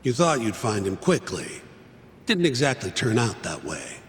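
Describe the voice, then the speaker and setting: A blunt, skeptical partner. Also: male voice, on the low side, huge pitch swings, normal speed, big-room sound, slight background noise. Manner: blunt, dismissive. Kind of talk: video game voice acting.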